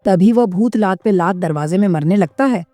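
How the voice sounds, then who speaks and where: A woman's voice: mid-range voice, normal speed. A woman narrating a scripted horror story as its storyteller. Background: prominent music.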